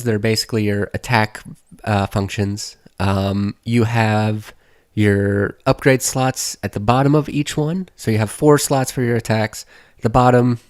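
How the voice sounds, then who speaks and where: Hoarse man casually reviewing a game. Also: medium pitch, darkish tone, no room sound, studio quality. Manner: rambling, loose and conversational. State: hoarse from allergies, losing his voice. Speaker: game video host.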